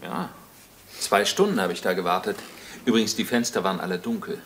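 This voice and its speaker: An actor playing a dutiful assistant police detective in a crime drama, talking in a matter-of-fact, conversational way. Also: male voice, lowish voice, lively intonation, a little fast. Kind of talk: German television crime drama acting. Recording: noisy vintage drama soundtrack.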